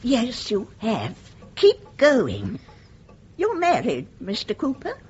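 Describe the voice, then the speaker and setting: An older British actress playing a genteel, courteous and spirited widow of eighty-four in a radio play. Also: female voice, a little high, wildly swooping pitch, slow, darkish tone, slightly rough, heavily acted. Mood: gentle, chatty. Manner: courteous, polite formal address. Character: genteel, chatty 84-year-old widow, vain and spirited. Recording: dull, muffled radio play recording.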